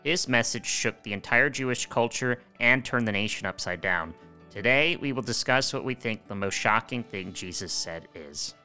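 A man in his thirties, a podcast co-host, speaking in a measured, dry manner. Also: medium pitch, expressive, sharp tone, faint music behind. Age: 30s. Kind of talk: introduction and casual chat.

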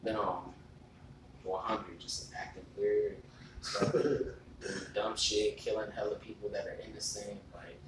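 Low-pitched male guest, earnest slang, roomy and noisy. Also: measured pace. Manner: earnest street slang, ends lines with "bro". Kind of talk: casual chat. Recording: echoey room, far from the mic, steady noise.

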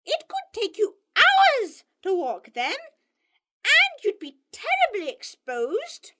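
A woman voicing a sharp, scolding female marsh harrier bird in a storybook reading. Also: very high voice, wildly swooping pitch, slow, background noise, pitched above the performer's own voice, exaggerated voice. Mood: bossy.

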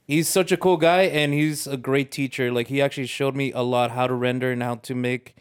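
A man's voice: mid-range voice, fairly even intonation, fairly quick, smooth. A man chatting casually as a co-host on a podcast. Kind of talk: casual chat.

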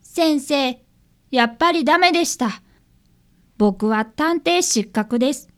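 A woman playing a very high-pitched earnest little boy. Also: animated, slow, fairly bright tone, full character voice. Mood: dejected. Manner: polite, earnest, deferential speech. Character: earnest fifth-grade boy, apprentice detective, polite and self-reproachful. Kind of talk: radio drama acting with narration.

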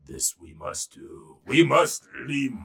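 Adult acting a big elephant king, pompous, emphatic, loud, slow, regal storybook character. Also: medium pitch, sing-song. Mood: alarmed.

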